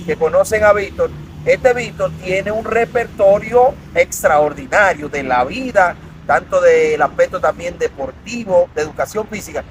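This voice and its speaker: A man hosting an interview, introducing his guest in an animated, promotional way. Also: high voice, rough recording. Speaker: interview host. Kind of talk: conversational interview. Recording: outdoor traffic noise.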